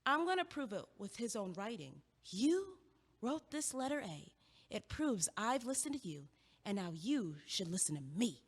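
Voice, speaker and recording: A woman's voice: a little high, sing-song, normal speed, slightly rough, heavily acted. A woman playing a blunt, driven young college student in an audio play, with a sardonic, emphatic delivery. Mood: frustrated, determined. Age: young adult. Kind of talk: stage-style audio play dialogue. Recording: echoey hall with long reverb.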